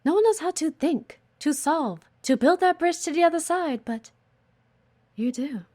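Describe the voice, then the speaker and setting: A voice actress playing a sharp, demanding female office executive in an audio roleplay, teasing and condescending, with a theatrical, flirty delivery. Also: high voice, lively intonation, moderate pace. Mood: haughty, impassioned. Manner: teasing, haughty, theatrical, sighing. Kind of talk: intimate audio roleplay acting.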